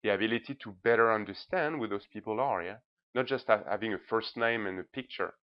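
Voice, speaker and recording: Low-voiced man presenting, slightly muffled sound. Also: a little fast. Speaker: presenter, blogger reviewing banks' social media. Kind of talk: informal screencast-style presentation.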